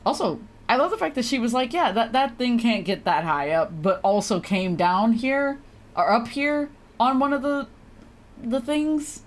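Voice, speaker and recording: A woman's voice: on the high side, a little slow. A woman streamer giving casual, reactive, sweary commentary while playing a game. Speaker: live streamer.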